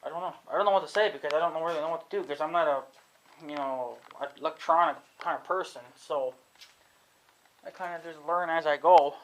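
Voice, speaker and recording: A man's voice: a little high, fairly quick, a bit bright, poor audio. A young man, thinking aloud in a casual vlog, unsure of himself and swearing freely. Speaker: vlogger. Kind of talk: rambling casual talk to camera.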